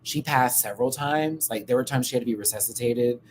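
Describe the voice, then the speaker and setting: American guy telling personal stories on a stream, slangy and candid, mid pitch, bit of noise behind him. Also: fairly quick. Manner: candid, chatty, uses slang and asides. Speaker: livestream host. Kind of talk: casual personal storytelling on a livestream.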